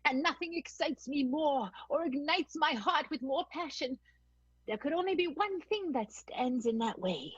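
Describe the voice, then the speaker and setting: A woman's voice: sits high, lively intonation, measured pace, no room sound, muffled recording. A woman acting a determined, passionate former showgirl in an improvised radio soap, earnest and declamatory. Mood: earnest confidence.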